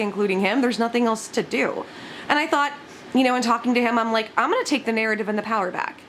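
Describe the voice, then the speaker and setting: A woman's voice: a little high, a bit bright. Scratchy-voiced giggly young woman. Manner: giggly, rambling, frequent laughs and swearing. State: scratchy just-woken voice. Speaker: YouTuber. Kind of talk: casual vlog chat.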